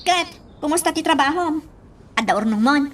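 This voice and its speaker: A pushy, impatient woman in a radio drama. Her voice is extremely high and sharp, and she nags and scolds with exasperated insistence. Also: expressive, brisk, slight background noise. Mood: demanding. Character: bossy, impatient older sister figure, moody and pushy. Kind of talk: radio drama acting in Ilocano.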